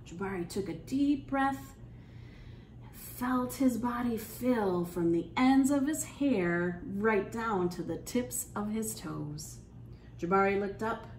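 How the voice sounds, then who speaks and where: A woman leading a storytime for young children, reading aloud in an animated, encouraging way and putting questions to her listeners. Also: medium pitch. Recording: echoey room, clear background noise.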